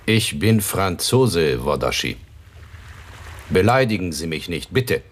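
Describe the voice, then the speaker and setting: An actor playing an icily courteous, menacing Frenchman in a radio drama, speaking slowly and with silky politeness. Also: low voice, sing-song, exaggerated voice. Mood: offended, cool.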